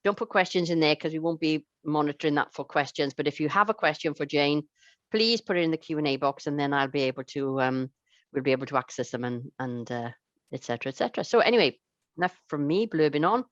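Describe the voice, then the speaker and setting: A woman's voice: deep voice, expressive, fast talker, no room sound, dull audio. A Welsh woman chairing an online conference session, chatty and hesitant with many fillers. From Wales.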